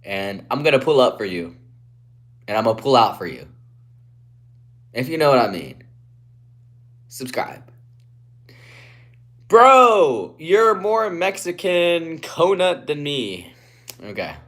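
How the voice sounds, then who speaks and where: A young man from Guatemala on a casual live stream, reading comments aloud and answering in a laid-back way, often trailing off quietly, through a phone propped on a ledge. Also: on the high side, big pitch swings, takes it slowly, long pauses. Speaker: livestreamer.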